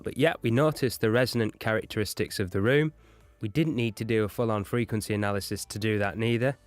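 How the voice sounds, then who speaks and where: A man with a fairly low voice gives an explanatory audio lesson. He talks as an instructor walking through a technical point. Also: fast, no room sound, soft background music.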